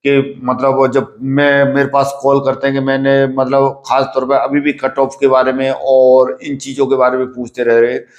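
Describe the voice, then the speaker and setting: A man giving informal, instructive advice to exam candidates like a coach, repeating himself and often pausing on filler phrases. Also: mid-range voice, completely flat delivery. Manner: repetitive, halting, with filler phrases and check-questions; instructive, paternal address to students. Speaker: coach at a physical training academy for police and army recruitment. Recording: echoey room.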